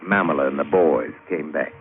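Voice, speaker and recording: A man's voice: extremely low pitch, moderate pace. An actor playing a roguish, crafty working-class British adventurer who spins his yarn colloquially in an old radio drama. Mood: wry, confiding. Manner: colloquial, wry storytelling with folksy grammar. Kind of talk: old-time radio drama narration and dialogue. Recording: tinny, hissy old radio recording.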